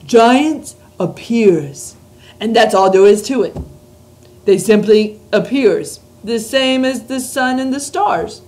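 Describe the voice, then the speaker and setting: A woman voicing a huge, very old male giant who speaks slowly and grandly, mangling his words. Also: a little high, a little mellow, voice pushed up. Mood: earnest.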